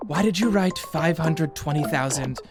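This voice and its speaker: A woman playing a sarcastic, exasperated male high school teacher in an anime fan dub, with a dry, scolding delivery. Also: normal speed, natural voice. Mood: weary, exasperated. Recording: music behind the voice.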